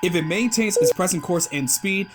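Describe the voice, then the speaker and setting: A man's voice: high-pitched, lively intonation, moderate pace, over loud music. A man playing a dutiful starship helm officer in a sci-fi audio drama. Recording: clearly noisy track with clicks.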